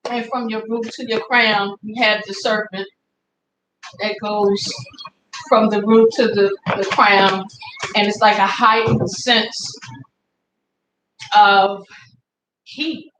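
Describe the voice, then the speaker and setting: Woman guest, calm and explanatory, echoey room with clear background noise. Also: mid-range voice, slow, long pauses. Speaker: guest co-host. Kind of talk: casual explanation.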